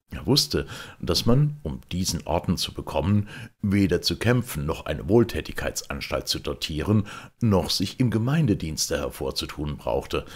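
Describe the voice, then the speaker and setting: A male audiobook narrator reads scripted literature expressively. His voice is low and creaky, with a husky edge and lively, wide-ranging intonation. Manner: expressive storytelling.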